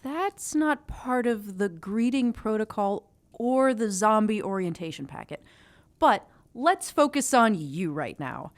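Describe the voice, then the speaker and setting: A woman's voice: mid-range voice, sing-song, unhurried. A woman playing an eager, officious and self-conscious young witch with a chipper, theatrical manner in an audio drama.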